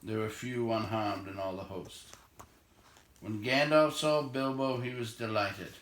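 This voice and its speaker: A man reading a novel aloud as expressive, measured storytelling narration. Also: on the low side. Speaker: amateur storybook reader. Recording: roomy, echoey home recording.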